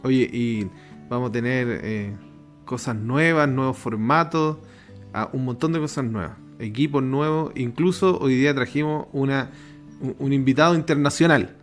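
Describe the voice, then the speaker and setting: A man's voice: medium pitch, moderate pace, soft background music. A young man hosting a youth podcast, upbeat and chatty, laughing easily with his co-hosts. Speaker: podcast host. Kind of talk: podcast interview.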